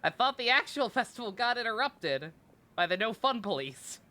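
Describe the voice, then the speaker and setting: Bright-voiced sarcastic woman streaming a game. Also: fairly high pitch, big pitch swings, moderate pace, a little hiss or hum. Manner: sarcastic, irreverent, swears freely. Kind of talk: casual live-stream commentary.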